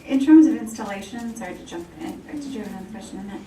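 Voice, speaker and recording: A woman's voice: on the high side, animated, racing, mellow. A woman speaking conversationally as a board member, asking questions and making motions at a public meeting. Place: indoor meeting room. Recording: echoey, noisy room, distant microphone.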